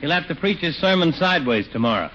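An actor playing a chatty, wisecracking small-town barber in a 1940s radio comedy. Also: male voice, fairly high pitch, big pitch swings, quick pace. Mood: breezy, joking. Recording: muffled, hissy 1940s radio recording.

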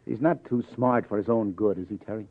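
An actor playing a hard-boiled, persistent police detective in 1950s radio crime drama, with dry, understated, probing questions. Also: male voice, fairly low pitch, a little fast, dry recording. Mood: probing, sardonic. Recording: muffled vintage radio recording, narrow band.

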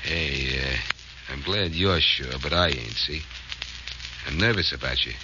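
Man putting on a deep slangy gangster boss. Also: unhurried. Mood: suspicious, bossy. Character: tough gangster boss impression, slangy and suspicious. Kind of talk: 1940s radio acting. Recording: noisy, dull old radio recording.

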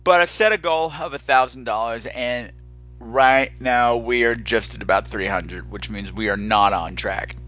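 A man's voice: mid-range voice, moderate pace, pushed and bright. A man hosting a podcast solo in a casual, chatty, campy and playfully dramatic way, rambling and self-deprecating. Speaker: podcast host.

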